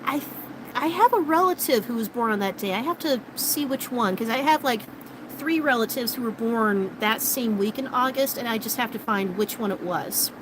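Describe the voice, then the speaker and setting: A woman's voice: fairly high pitch, lively intonation, moderate pace, noisy background. Chatty woman in her late thirties. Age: 30s. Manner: rambling, chatty, many fillers and restarts. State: starting to lose her voice. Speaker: vlogger. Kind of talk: casual chat with live viewers.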